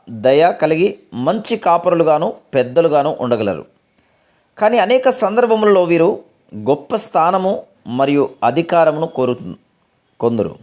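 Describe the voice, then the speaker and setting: Male devotional reader, fairly high pitch, formal and measured scripted delivery. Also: a little mellow.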